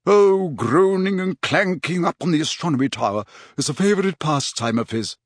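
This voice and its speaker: A man's voice: on the high side, animated, normal speed, no echo, clean studio sound, pitched above the performer's own voice. A man as a courtly ghost, lightly raised voice. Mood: genteel, informative. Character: courtly, chatty male ghost, genteel and knowing.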